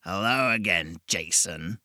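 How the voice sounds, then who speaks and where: A man voicing a ragged old devil who speaks slowly and mockingly. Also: deep voice, pushed and bright, no room sound, studio quality, full character voice. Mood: sly menacing. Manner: slow, purring, mocking.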